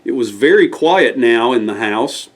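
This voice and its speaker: A man's voice: mid-range voice, lively intonation, measured pace. A man reading a scripted bedtime story aloud as the storyteller.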